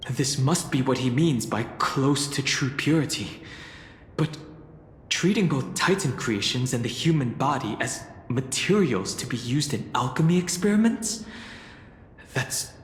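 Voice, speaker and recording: An actor playing a calm, analytical young traveler in a fantasy game, speaking in a measured, formal and reserved way. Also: male voice, mid-range voice, slight background noise, natural voice. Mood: grave, questioning. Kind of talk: scripted fantasy game voice acting. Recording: echoey long reverb, game music behind. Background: game music.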